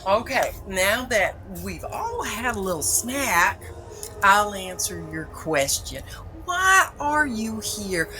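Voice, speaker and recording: A woman's voice: mid-range voice, big pitch swings, slow, noisy background. A woman playing a sweetly menacing supernatural overseer of the dead in an audio drama, coaxing and mock-friendly. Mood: sternly menacing.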